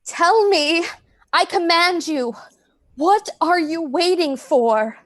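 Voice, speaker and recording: Intense, high-voiced woman experimenter played by an actress. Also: moderate pace, no echo, natural voice. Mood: desperate command. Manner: formal, intense, dramatic. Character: cold, obsessive female experimenter narrating a horror tale. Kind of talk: old time radio drama narration.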